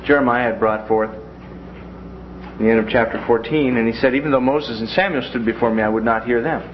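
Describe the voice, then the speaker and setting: A man's voice: medium pitch, fairly even intonation, moderate pace. Man teaching a folksy Bible class. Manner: folksy, joking asides. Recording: dull lo-fi classroom recording with faint noise.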